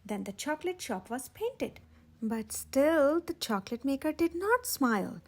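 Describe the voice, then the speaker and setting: A woman reading a children's story aloud as a storytime host, expressive, with dramatic emphasis and a breathy voice. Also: sits high, measured pace. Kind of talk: animated children's read-aloud narration.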